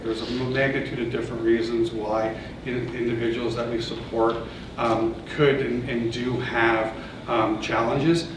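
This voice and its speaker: A man's voice: medium pitch, far from the mic. A man with a Canadian accent giving a conference presentation, hesitant, with many fillers and restarts. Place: large conference room. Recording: echoey hall, voice from off-mic, noisy.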